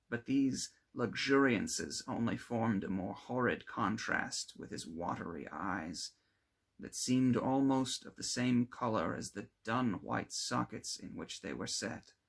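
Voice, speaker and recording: Guy doing a dramatic audiobook read of old gothic horror, husky and kinda low, very emphatic. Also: a bit bright. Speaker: amateur audiobook reader. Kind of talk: dramatic narration of a classic gothic novel.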